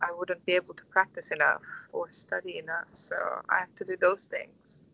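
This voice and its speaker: A woman in her twenties from Istanbul with a Turkish accent, answering interview questions in a thoughtful, halting, conversational way. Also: on the low side, little pitch movement, gravelly, bright, forceful tone, highs cut off. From Istanbul, Turkey. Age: 20s. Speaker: interview guest, orchestral conductor.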